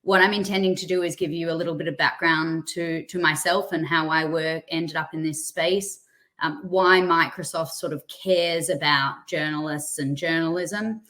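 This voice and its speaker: A woman's voice: low voice, fairly bright tone. An Australian woman giving a friendly, chatty presentation as a guest speaker, with a self-deprecating touch. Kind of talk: presentation on a webinar.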